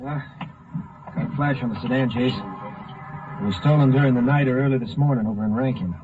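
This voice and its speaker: An actor playing a plainspoken, helpful highway patrolman in a 1950s radio drama, with a clipped, folksy delivery. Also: fast talker, close to an everyday voice. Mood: reporting briskly. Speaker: supporting actor. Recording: narrow muffled 1950 radio recording.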